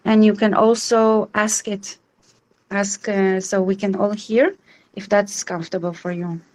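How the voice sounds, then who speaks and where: A woman's voice: medium pitch, fairly even intonation, measured pace, darkish tone. A woman in her thirties from Israel, hosting an online support group in a welcoming, reassuring way over a video call. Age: 30s.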